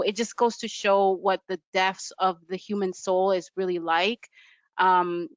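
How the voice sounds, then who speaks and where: A woman's voice: mid-range voice, fairly bright tone, dry recording. A woman from the United States, earnestly reflecting on her own experience as a panelist over a video call. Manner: earnest, reflective, loose with fillers. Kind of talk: personal account on a panel. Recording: dull lo-fi video call audio.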